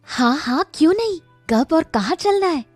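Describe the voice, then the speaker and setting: Woman acting a high, petulant housewife. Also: expressive, normal speed, dry recording, faint music behind. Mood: eager. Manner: petulant, dramatic. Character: whiny, vain young housewife craving luxury.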